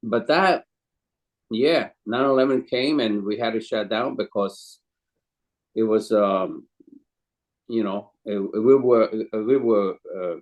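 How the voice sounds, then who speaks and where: Older Italian-accented man, low, rambling. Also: a little mellow. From Calabria, Italy. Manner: hesitant and rambling, with many pauses, fillers and non-native phrasing. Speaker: guest, chef and caterer. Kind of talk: casual interview storytelling.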